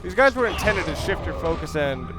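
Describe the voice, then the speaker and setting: A man's voice: a little high, expressive, brisk, over music. A man giving casual let's play game commentary, explaining things analytically and often correcting himself as he goes. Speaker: gameplay commentator. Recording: noisy recording with clear background noise.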